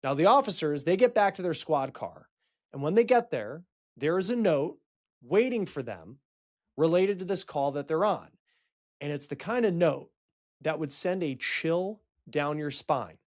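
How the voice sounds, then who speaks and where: A man hosting a true-crime report, explaining a case in a storytelling news style with dramatic, emphatic pauses. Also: fairly high pitch, animated. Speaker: true-crime show host.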